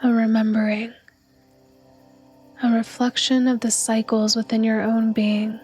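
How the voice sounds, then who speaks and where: A woman leads a guided meditation in a soft, breathy voice. She speaks very slowly and soothingly, on a gently high pitch that stays almost completely level. Quiet music plays behind her. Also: flat delivery. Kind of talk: guided yoga nidra meditation narration.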